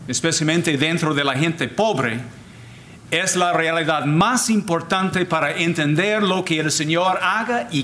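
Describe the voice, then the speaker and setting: An older man, a Jesuit priest whose first language is English, giving a deliberate, formal talk with frequent restarts. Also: on the high side, fairly bright tone, a little hiss or hum. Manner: deliberate, repeats and restarts words, switches to English phrases. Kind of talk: slow formal lecture.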